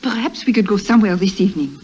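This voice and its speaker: An actress playing a petulant, neglected French bride in an old radio drama, theatrical and reproachful, with a French accent. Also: female voice, medium pitch, expressive, fast, darkish tone, lightly acted. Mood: coaxing. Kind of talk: 1950s radio drama acting. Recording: noisy vintage radio recording.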